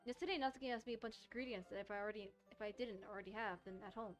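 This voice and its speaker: A woman's voice: medium pitch, racing, faint music behind, natural voice. A woman reading as a mild, uneasy schoolboy who narrates a visual novel. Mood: matter-of-fact. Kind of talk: visual novel text read aloud.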